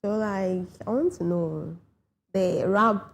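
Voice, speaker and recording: A woman hosting a morning show, asking chatty questions with many quick "okay"s. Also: medium pitch, vocal fry, slight background noise. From Ghana. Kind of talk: casual interview.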